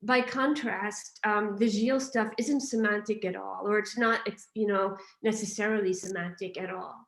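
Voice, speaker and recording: A woman thinking aloud in an academic discussion, halting and often interrupting herself as she puts a point. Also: mid-range voice, fairly even intonation, reverberant. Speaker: audience questioner at an academic Q&A.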